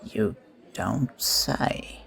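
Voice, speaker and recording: An inquisitive pirate tavern mate with a gravelly edge. He takes his time, questioning and easily indignant, in the old sea talk of an audio play. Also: on the low side, extremely slow, a bit bright, heavily acted. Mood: intrigued. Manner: inquisitive, old seafaring phrasing.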